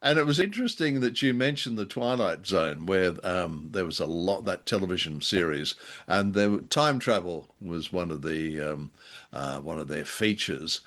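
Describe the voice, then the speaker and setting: Low-voiced man, halting and dry. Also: expressive, fairly bright tone. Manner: halting, measured, dryly understated humour. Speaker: podcast co-host. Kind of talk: conversational radio drama review.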